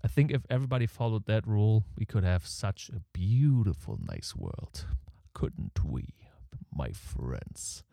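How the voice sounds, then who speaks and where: German man with a low, mellow voice. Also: very animated, measured pace, vocal fry, no echo, clean studio sound. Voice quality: audible breathing. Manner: rambling, opinionated, self-deprecating. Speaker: podcast host. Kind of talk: casual solo podcast monologue. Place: home setup in Canada. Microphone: close podcast microphone.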